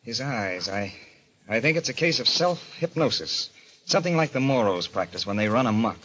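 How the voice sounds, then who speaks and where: An actor playing a calm, cautious, learned doctor who explains things in a measured way, in old radio mystery style. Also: male voice, mid-range voice. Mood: grave. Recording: faintly noisy old radio recording.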